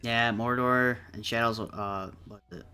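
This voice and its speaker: A man's voice: medium pitch, steady tone, normal speed, bright, forceful tone, smooth. A man hosting a stream, chatting casually about games in an opinionated way with some swearing. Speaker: stream host. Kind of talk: casual gaming chat. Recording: music playing underneath. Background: music.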